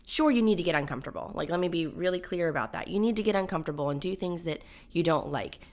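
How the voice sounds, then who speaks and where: A woman with a low, gravelly voice talks straight to her listeners in a solo podcast. She is emphatic and a bit high-strung, and she pokes fun at herself as she goes. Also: fast talker, darkish tone. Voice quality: low, raspy voice. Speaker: podcast host.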